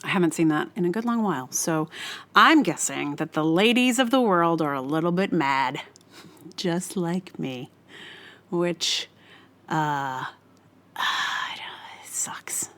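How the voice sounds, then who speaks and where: Low pitched lady doing a solo podcast, casual and rambly, keeps restarting what she's saying. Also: slow, long pauses, fairly bright tone. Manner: rambling, hesitant, self-correcting. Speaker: podcast host.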